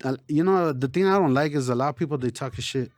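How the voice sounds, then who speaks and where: A man born in Chicago and raised in El Paso, a rapper talking casually in an interview, relaxed and streetwise, always saying "you know". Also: on the high side, quick pace, dry recording. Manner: laid-back, streetwise, lots of "you know". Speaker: local rapper, interview guest.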